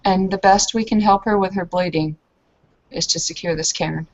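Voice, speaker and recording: A woman's voice: on the low side, fairly even intonation, moderate pace, muffled recording, close to an everyday voice. A woman playing an earnest, resolute werewolf who speaks in formal declarations during a tabletop game. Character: earnest, resolute female werewolf devoted to Gaia. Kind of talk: in-character tabletop roleplay.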